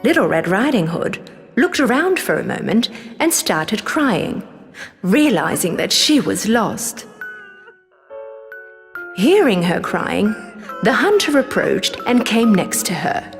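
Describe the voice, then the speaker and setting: A woman narrating a scripted children's story as an expressive storyteller. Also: medium pitch, takes it slowly, in a large hall, faint music behind.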